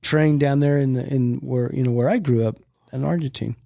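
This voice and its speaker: Middle-aged guy hosting a chat podcast, relaxed and chatty, dry close sound. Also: medium pitch, normal speed, gravelly, dry recording. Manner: easygoing, chatty, prompts memories. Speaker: podcast host. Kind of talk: casual chat.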